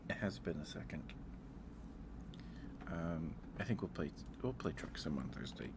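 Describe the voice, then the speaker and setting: A man's voice: a bass voice, animated, a little slow, gravelly, very noisy. A man hosting a casual livestream, thinking aloud in a hesitant way. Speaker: livestream host.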